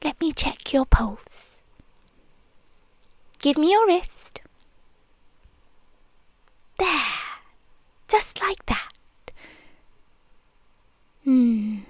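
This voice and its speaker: A woman's voice: high voice, very animated, no echo, exaggerated voice. A woman with a British accent voicing an obsessive, possessive young nurse in a whispered ASMR roleplay, speaking in an intimate, teasing murmur. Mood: whispery possessive. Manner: slow, intimate, teasing purr with frequent whispers.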